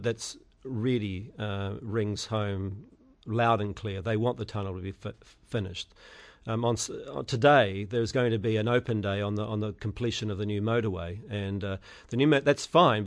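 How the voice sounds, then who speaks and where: Middle-aged New Zealand candidate, gravelly, low voice, stop-start answers full of ums. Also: male voice. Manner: halting, many fillers and restarts. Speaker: election candidate guest. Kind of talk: radio interview answers.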